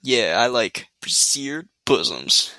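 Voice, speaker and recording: A man's voice: mid-range voice, expressive, slow, gravelly, bright, forceful tone, heavily acted. An actor playing a wry young man with dry, teasing humor who looks after his sick date in a radio play.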